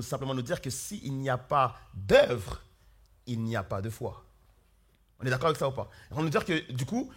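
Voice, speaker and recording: A man preaching a sermon as pastor, hoarse with flu, earnestly calling out questions to his congregation. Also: mid-range voice. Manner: earnest, calls out questions to the congregation, many fillers and restarts. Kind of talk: sermon with opening prayer. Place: church hall.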